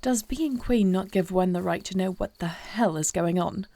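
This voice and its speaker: Woman playing a gravelly, regal young queen. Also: lowish voice, moderate pace, warm tone, lightly acted. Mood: irritated, confused. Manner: formal, regal phrasing. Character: short-tempered yet caring newly crowned warrior queen. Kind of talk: fantasy audio roleplay acting.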